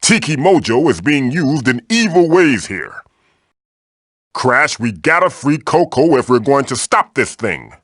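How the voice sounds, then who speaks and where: A man's voice: mid-range voice, a bit bright, no room sound, clean studio sound. A man voicing an old magical mask, a bossy, wisecracking mentor guiding the player in a video game with theatrical, wry delivery. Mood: grave warning.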